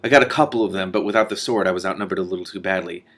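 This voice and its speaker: A man reading the part of a calm, wry king and father in a storybook. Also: low-pitched, racing. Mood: measured, wry.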